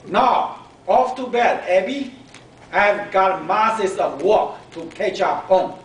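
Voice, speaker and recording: A man plays a harried storybook mum, brisk and emphatic, in a raised pitch. The voice carries from some distance in an echoey room. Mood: brisk, impatient. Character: busy, impatient mother, brisk and scolding, later warm. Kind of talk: children's storybook reading. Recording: echoey room, distant mic, background noise.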